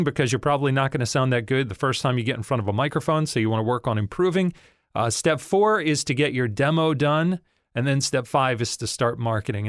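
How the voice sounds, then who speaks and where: A man hosting a podcast on voice acting, giving steady, friendly advice to beginners. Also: mid-range voice, no room sound, clean studio sound. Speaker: podcast host and voice-over coach. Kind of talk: scripted podcast teaching.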